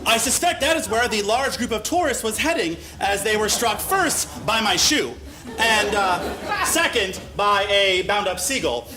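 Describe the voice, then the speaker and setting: A man giving a mock-serious comedic reading of a story live on stage, with deliberate pauses for effect. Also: sits high, lively intonation. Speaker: live reader of a humorous letter. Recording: live stage recording with faint noise.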